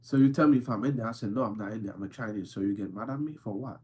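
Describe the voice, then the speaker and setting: Low, husky-voiced man hosting a live chat; playful and insistent, hammers points home by repeating them. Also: racing, mellow. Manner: insistent, repeats himself for emphasis; playful, teasing. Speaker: livestream host answering chat. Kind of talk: casual chat with viewers.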